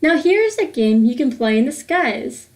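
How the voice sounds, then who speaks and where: A woman reading a rhyming picture book aloud for children in a deliberate, sing-song way. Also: high-pitched, big pitch swings, a little mellow. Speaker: host of a children's read-aloud series.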